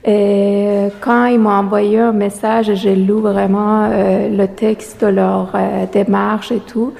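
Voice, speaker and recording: A woman artist speaking French as a second language, answering interview questions slowly with many fillers and restarts, recorded in a gallery. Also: medium pitch, flat delivery, creaky, warm tone. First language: not French. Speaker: exhibiting artist. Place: art gallery. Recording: long reverb of a gallery room.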